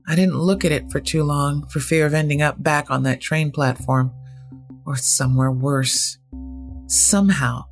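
Wry storyteller narrating over a music score. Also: mid-range voice. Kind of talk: scripted first-person audio fiction narration. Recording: music bed under the voice.

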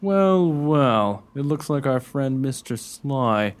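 A man's voice: medium pitch, lively intonation, takes it slowly. A man playing a gallant, self-assured rescuer and suitor in a radio play, with formal, declamatory delivery. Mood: explaining.

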